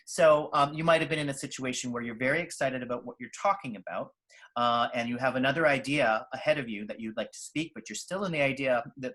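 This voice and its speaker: A man coaching on speaking pace in a calm, steady way, with frequent pauses and restarts. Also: medium pitch. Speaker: presentation skills coach. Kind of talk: instructional talk.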